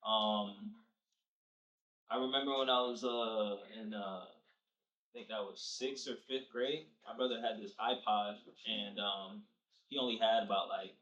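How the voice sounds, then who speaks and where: A man's voice: fairly low pitch, fairly even intonation, unhurried, a bit bright, highs cut off. A young man who grew up in New Jersey, talking casually and laughing easily as a guest in an interview. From Nigeria, raised in New Jersey. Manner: laid-back, slangy, laughs easily. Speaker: rapper guest. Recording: distant mic, roomy echo.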